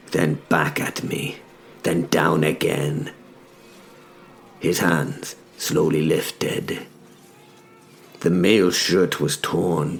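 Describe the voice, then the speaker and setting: Deep, gravelly male storyteller, very slow. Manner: measured, broken into short phrases. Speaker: narrator. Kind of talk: first-person dramatic scripted narration.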